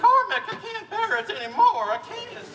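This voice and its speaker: A man's voice: very high voice, big pitch swings, bright, forceful tone, a faint crowd, full character voice. A man playing a breathless, theatrical young maiden in a comic romance reading. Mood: breathless, overwhelmed. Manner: drawn-out, theatrical, overwrought. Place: live stage at a bar party. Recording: echoey live hall, distant mic, audience.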